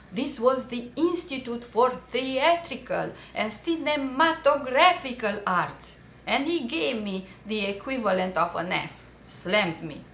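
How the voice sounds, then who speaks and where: A woman with a Romanian accent reads her personal story as a dramatic monologue, theatrical and deliberate, with pointed pauses and bitter irony. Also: medium pitch, vocal fry, fairly bright tone, reverberant, a little hiss or hum. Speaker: writer reading her own memoir.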